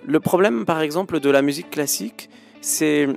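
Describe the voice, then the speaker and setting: A man's voice: on the high side, moderate pace, vocal fry, faint music behind. A man in his thirties giving thoughtful interview answers as a guest musician, hesitating often with fillers and restarts.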